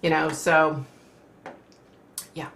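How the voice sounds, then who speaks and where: A middle-aged woman hosting a casual livestream from home, reading viewer comments aloud and reacting in a chatty, rambling way while her voice goes hoarse. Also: low-pitched, flat delivery, extremely slow, a bit bright, echoey room, a little hiss or hum. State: losing her voice.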